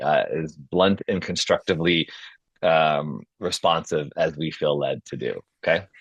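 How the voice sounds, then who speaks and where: A man's voice: extremely low pitch, a bit bright, dry recording, highs cut off. A man hosting and narrating a script table read in an informal style. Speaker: host and stage-direction reader. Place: video call.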